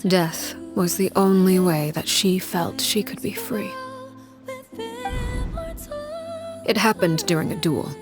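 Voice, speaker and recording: A solemn woman played by an actress narrates a tragic game story in a fairly low voice. She is measured and composed, letting phrases sink into a breathy hush. Music plays clearly behind her. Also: lightly acted. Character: grave, composed woman recounting a tragic tale. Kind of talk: scripted dramatic narration.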